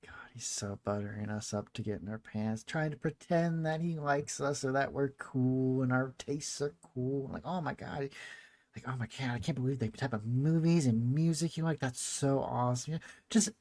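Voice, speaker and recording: A woman's voice: extremely low pitch, normal speed, warm tone. A woman gamer giving casual commentary on a visual novel, with muttered interjections and mocking asides.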